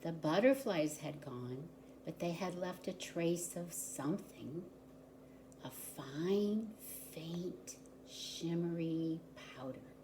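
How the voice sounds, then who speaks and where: Female storybook reader for preschoolers: low register, very unhurried, expressive delivery, slight background noise. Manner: expressive, deliberate storyteller for young children. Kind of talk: children's picture book read-aloud.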